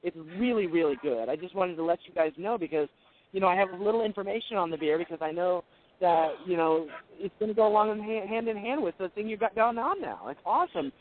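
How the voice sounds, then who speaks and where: A thin phone line brings in a flamboyant, high-pitched male caller, put on by a man for radio comedy. He gushes and boasts like a pampered globetrotter. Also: animated, fast talker. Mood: breezy, enthusiastic. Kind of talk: comedy call-in bit. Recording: quiet tinny phone line.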